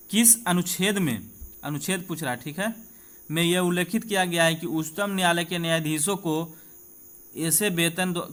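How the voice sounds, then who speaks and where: Higher-voiced Bihari man teaching. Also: fairly bright tone. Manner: conversational, addresses students directly with repeated check-ins. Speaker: exam coaching teacher. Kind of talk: informal exam-prep lesson, reading out quiz questions and explaining answers.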